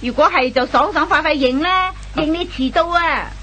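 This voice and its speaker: Fed-up young girlfriend, radio comedy. High female voice, Hong Kong Cantonese, emphatic scolding, slightly noisy vintage sound. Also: normal speed, pushed and bright. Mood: exasperated. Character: angry impatient young girlfriend scolding her late boyfriend. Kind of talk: comic radio play acting. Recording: old radio recording with faint noise and hum.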